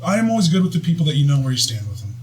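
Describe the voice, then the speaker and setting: A man's voice: on the high side, big pitch swings, a little mellow, slight background noise. A man hosting a podcast, firing off questions and quips in casual chat. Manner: rapid, questioning, jokey. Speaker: podcast host.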